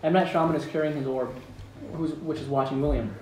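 A man's voice: on the high side, fairly even intonation, quick pace, a little mellow. A man reading out stage directions as narrator at a script table read. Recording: echoey room, distant mic, background noise.

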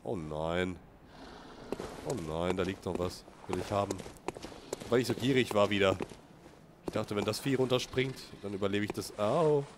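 Man, low voice, slight cold, sniffly, chatty gameplay commentary, heavy background noise. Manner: chatty, talks to viewers, reacts aloud. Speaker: let's play gamer.

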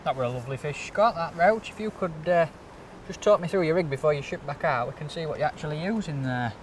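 A man's voice: fairly high pitch, fast, far from the mic. A man, hosting a fishing show outdoors on a riverbank and asking his guests to explain what they do. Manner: chatty, asks guests to explain. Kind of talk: casual interview on the bankside. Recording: outdoor recording, faint noise, bit off mic.

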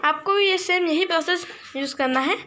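A woman hosting a cooking channel, explaining a recipe step by step in a steady voice-over. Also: very high voice, fairly quick, background noise.

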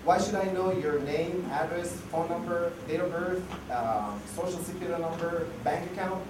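A man's voice: fairly high pitch, normal speed. Man, losing his voice, casual talk with many restarts, distant mic, noisy background. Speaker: conference speaker. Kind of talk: conference talk. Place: conference hall. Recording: echoey hall, far mic, noisy.

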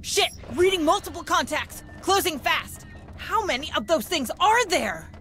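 A woman's voice: very high voice, animated, measured pace, fairly bright tone, heavily acted. An actress playing a brash, salty dropship pilot in a sci-fi video game, with music behind her. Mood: alarmed.

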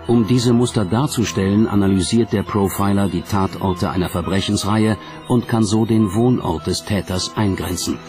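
A man narrating a documentary from a script in a measured, dramatic storytelling way. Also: fairly low pitch. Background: background music.

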